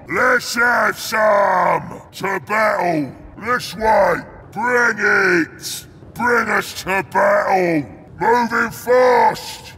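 A man voicing a brutish, bloodthirsty orc warrior for a video game, bellowing short, rough war cries, eager for battle. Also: sits high, pushed and bright, a little hiss or hum, full character voice. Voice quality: gravelly, rough.

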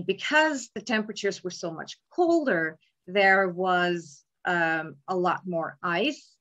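A young woman explains her slides in a calm, measured lecture, with frequent ums. She speaks over a webcam from an indoor room, at a middling pitch. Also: lo-fi sound. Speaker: palaeontology lecturer. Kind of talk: slide lecture on cave bears. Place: indoor room, remote talk. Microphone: webcam in a video call.

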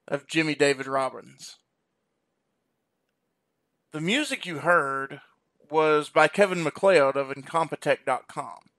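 Unhurried male credits announcer. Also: fairly high pitch, a bit bright.

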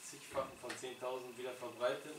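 A man's voice: medium pitch, little pitch movement, fairly quick. A male student in the class, joking as he interrupts the teacher, heard from across the room. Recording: roomy, far from the mic, noisy.

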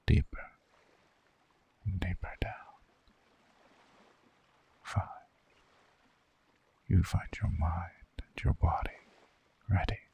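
A man voicing a soothing, seductive vampire lover in a slow, hypnotic ASMR sleep roleplay, half-whispering with a breathy, gravelly voice. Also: deep voice, dark tone, heavily acted. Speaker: ASMR voice actor.

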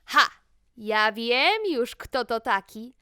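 A woman storyteller narrating a rhymed fairy tale to children in an expressive, theatrical manner. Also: a little high, takes it slowly, smooth, no room sound, clean studio sound.

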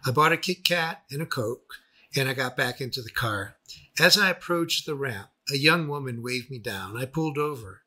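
A man reading a scripted first-person memoir as its narrator, with a husky voice. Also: mid-range voice, animated, normal speed, bright, forceful tone. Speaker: author and narrator.

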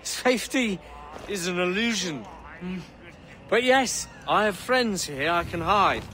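A self-pitying assassin from a pirate video game. His voice sits high and lurches theatrically between whining and outbursts. Loud dramatic music plays behind him. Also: animated, a little slow, full character voice. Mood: maudlin, slurred. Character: distraught drunken pirate-era assassin, betrayed brother, self-pitying. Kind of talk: video game cutscene acting.

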